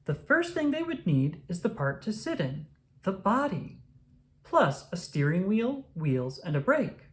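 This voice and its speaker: A woman reading a children's story aloud, with expressive, deliberate phrasing for young listeners. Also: mid-range voice. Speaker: storybook reader. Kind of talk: children's read-aloud narration.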